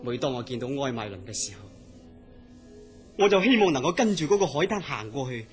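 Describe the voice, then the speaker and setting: Actor as obsessed dreamer, dramatic, swooping Cantonese delivery. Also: male voice, medium pitch, sing-song, fairly quick, over music, lightly acted. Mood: brooding, wistful. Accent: Hong Kong Cantonese. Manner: earnest, dramatic storytelling. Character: troubled dreamer man, obsessed with a mysterious dream city. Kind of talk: Cantonese radio horror drama acting.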